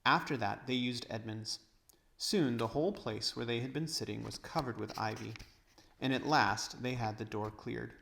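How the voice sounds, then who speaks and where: A man's voice: medium pitch, moderate pace, vocal fry, a bit bright, a little hiss or hum. A man narrating a storybook aloud as a read-aloud reader.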